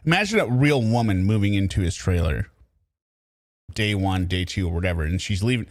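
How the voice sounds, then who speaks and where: A man hosting casual true crime commentary, animated and riffing, laughing as he goes. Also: deep voice, a little fast, no room sound, clean studio sound. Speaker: commentary host.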